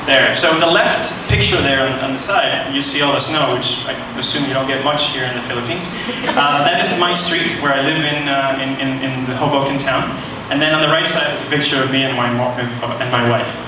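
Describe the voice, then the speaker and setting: Man in an echoing hall. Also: medium pitch. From Puerto Rico. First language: Spanish. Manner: halting, friendly, with many pauses. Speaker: visiting corporate volunteer presenter. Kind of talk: informal slide presentation to an audience. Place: large echoing presentation room. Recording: echoey, distant, dull lo-fi, noisy.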